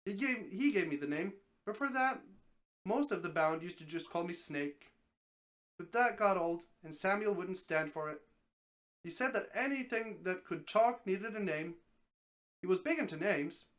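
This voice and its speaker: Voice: medium pitch, animated, normal speed, a bit bright, natural voice. A reader voicing a talking snake from a story, helpful and wry, explaining things in a matter-of-fact way. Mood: wry, instructive. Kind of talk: storybook dramatic reading.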